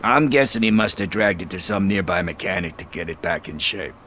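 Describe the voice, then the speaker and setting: A man's voice: deep voice, brisk, bright, forceful tone, slight background noise. An actor playing a streetwise male informer, sardonic and chatty, talking in casual slang with a wry edge. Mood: confiding. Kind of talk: video game dialogue acting.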